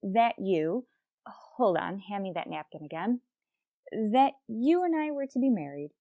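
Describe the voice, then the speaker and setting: An actress playing a wry, lovelorn schoolteacher with deadpan, sarcastic wit in an old-time radio comedy. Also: female voice, medium pitch, measured pace, dry recording, muffled recording. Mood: urgent.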